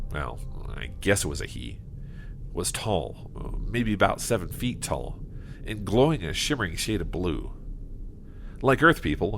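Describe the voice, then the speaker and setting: A man's voice: low voice, creaky, a bit bright, exaggerated voice. A man playing a plain-spoken, troubled farmer of fifty-one, telling his story in an audio drama with folksy, hesitant earnestness. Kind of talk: first-person audio drama narration. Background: music.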